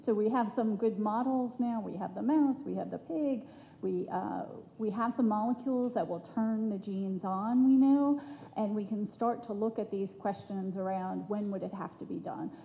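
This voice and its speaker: A woman's voice: medium pitch, moderate pace, gravelly, warm tone. A woman on a conference panel, summarizing the discussion in an upbeat way. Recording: hall reverb with faint noise.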